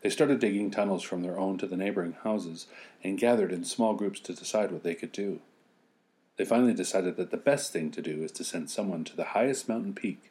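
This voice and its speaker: A man's voice: low voice, warm tone. A middle-aged man reading a children's fairy tale as a storyteller, with joking asides.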